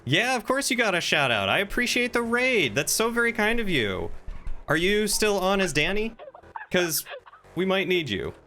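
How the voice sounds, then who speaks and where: A man's voice: high-pitched, sing-song, normal speed, pushed and bright, a little hiss or hum. A man live streaming, chatting casually with viewers in an effusive, talkative way.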